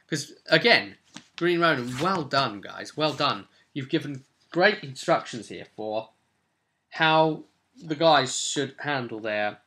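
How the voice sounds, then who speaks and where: Male game master, bright forceful voice, mid pitch, casual and rambling recap of a tabletop campaign.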